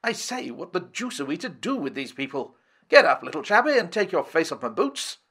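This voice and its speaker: A man voicing a brisk, hearty aristocratic big-game hunter who talks in bluff, clipped slang. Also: a little high, big pitch swings, sharp tone, breathy edge, pitched above the performer's own voice, full character voice.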